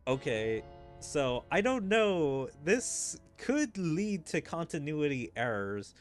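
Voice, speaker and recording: A man doing casual game commentary as a streamer, rambling and thinking out loud. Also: a little high, very animated, takes it slowly, faint music behind. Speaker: streamer playing through a game. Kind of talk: casual game commentary, reading dialogue aloud.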